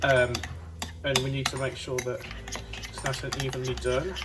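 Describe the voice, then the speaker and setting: A woman's voice: extremely low pitch, little pitch movement, moderate pace, very noisy. A woman presenting a recipe step by step in a playful, theatrical way with comic asides. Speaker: cooking show presenter. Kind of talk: instructional cooking demonstration with comic asides. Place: home kitchen, indoors. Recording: prominent music over kitchen clatter. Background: loud music, cutlery, pots and pans.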